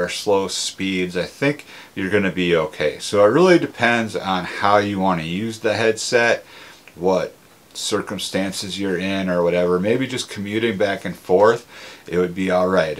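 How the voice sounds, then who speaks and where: A man talks casually to viewers. His delivery is conversational and plain-spoken, like an everyday product reviewer. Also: deep voice. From Minnesota, United States. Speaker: motorcycle gear reviewer.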